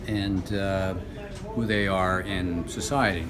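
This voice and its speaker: A middle-aged man being interviewed as a drama teacher, explaining his work informally in halting phrases with many pauses. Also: deep voice, steady tone, a crowd in the background. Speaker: radio theater teacher and actor being interviewed. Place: room at a senior center. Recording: very noisy room ambience.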